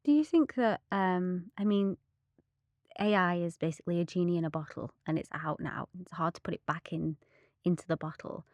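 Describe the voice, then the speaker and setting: A woman's voice: on the low side, moderate pace, a little mellow, no room sound, dull audio. Chatty young woman host, dry sound. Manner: chatty, filler "like". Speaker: podcast host. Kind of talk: casual podcast interview.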